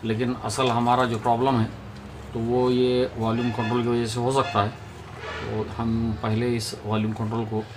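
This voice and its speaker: A man's voice: medium pitch, monotone, normal speed, noisy background. A man giving an informal hands-on repair tutorial, explaining step by step to viewers in a home-made recording.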